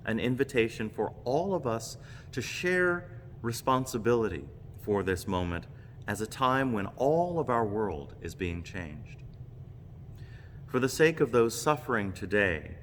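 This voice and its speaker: A man delivering a reflective scripted homily as a minister, in a measured, solemn, deliberate manner. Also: on the low side. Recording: long reverberant hall, clear background noise.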